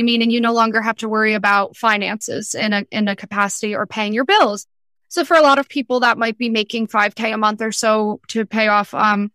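Podcast guest, female, young adult. Forceful, clean tone, close dry sound, emphatic casual delivery. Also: on the high side, dry recording. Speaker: podcast guest, freelance entrepreneur. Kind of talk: casual interview chat.

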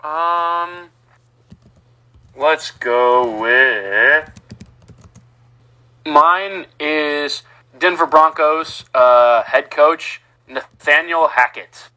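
A young man co-hosting a casual sports podcast, talking in a slow, hesitant, laid-back way. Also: a little high, sharp tone. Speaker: podcast co-host.

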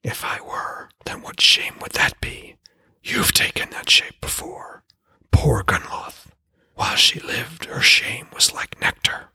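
A man voicing a taunting, cryptic spirit that speaks from a well in an audiobook, in an eerie hushed whisper. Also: takes it slowly, dry recording. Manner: mocking, cryptic, patient. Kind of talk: audiobook character voice.

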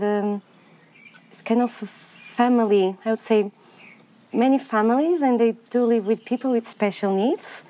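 A woman from Portugal, answering interview questions in a soft-spoken way while weeding outdoors on a farm, searching for words now and then. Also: fairly high pitch. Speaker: farm grower. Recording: faint outdoor noise behind.

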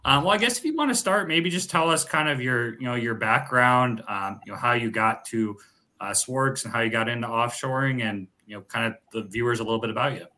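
A man's voice: mid-range voice, a little fast, pushed and bright. A man from Minnesota hosting a casual podcast, easygoing and full of fillers. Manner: easygoing, filler-heavy, friendly teasing. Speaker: podcast host.

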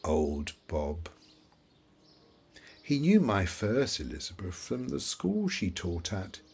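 Slow, gravelly old widower reminiscing. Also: male voice, low-pitched, huge pitch swings, darkish tone, exaggerated voice. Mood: fond reminiscing. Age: older. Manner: rambling, wistful storytelling. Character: ailing elderly widower, fondly reminiscing, forgetful. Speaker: actor in an audio play. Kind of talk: audio drama acting, reminiscing.

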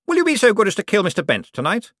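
A man's voice: high-pitched, huge pitch swings, rapid-fire, a bit bright, no echo, studio quality, pitched above the performer's own voice, exaggerated voice. A man playing a vain scheming rich man.

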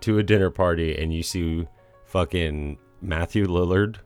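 A young man co-hosting a casual podcast, animated and swearing freely. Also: sits low, normal speed, smooth, soft background music. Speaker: podcast co-host. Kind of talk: casual chat.

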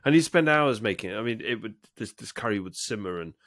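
A man's voice: on the low side, lively intonation, fast, a bit bright, no echo, studio quality. A British man bantering casually as a podcast co-host, jokey and colloquial with playful exaggeration.

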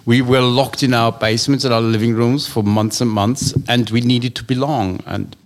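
Man from Austria, mid-pitch, expansive talker, thinks out loud with restarts. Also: steady tone, normal speed. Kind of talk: casual discussion.

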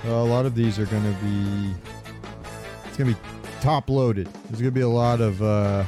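A man talking casually as a gaming podcast host, halting as he thinks aloud. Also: on the low side, fairly even intonation, over music.

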